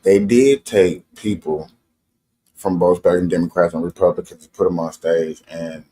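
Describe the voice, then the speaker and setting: Husky guy streaming and reacting, rambles earnestly, real low voice. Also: a little mellow. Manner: rambling, earnest, repeats "you know what I'm saying". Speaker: streamer host. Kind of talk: casual live-stream reaction commentary.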